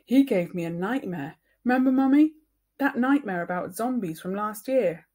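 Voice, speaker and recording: Woman putting on a whiny, excitable little boy. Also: mid-range voice, moderate pace, pitched above the performer's own voice, close to an everyday voice. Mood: excited. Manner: whining, childish.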